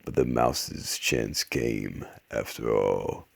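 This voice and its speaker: A man playing an old man narrator, reading storybook fables slowly and deliberately in a raspy voice. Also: extremely low pitch, dry recording, full character voice. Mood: solemn, unhurried.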